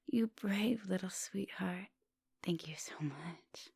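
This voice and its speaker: A woman playing a seductive, gentle vampire professor in an intimate ASMR roleplay, murmuring and whispering coaxingly up close. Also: on the low side, slow pace, no room sound, exaggerated voice. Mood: tender, seductive. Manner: breathy murmurs and whispers, coaxing pet names. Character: seductive female vampire professor, hungry yet gentle and polite. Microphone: close microphone.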